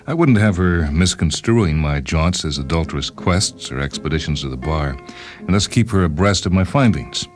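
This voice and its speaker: Storybook lead: wry, deadpan, wordy male inventor, disgruntled husband, acted by a narrator, very low voice over soft music. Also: moderate pace. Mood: wry, matter-of-fact. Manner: deadpan, wry, fussily verbose. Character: disgruntled, self-justifying inventor, a married time traveller. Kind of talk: first-person dramatised short-story reading.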